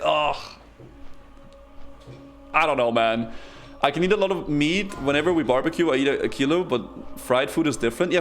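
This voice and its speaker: A man talks to his chat in an excitable, chatty way while he plays. His voice sits a little high and swings up and down a lot. Faint game music plays behind him. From Denmark. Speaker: streamer. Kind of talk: casual live-stream commentary.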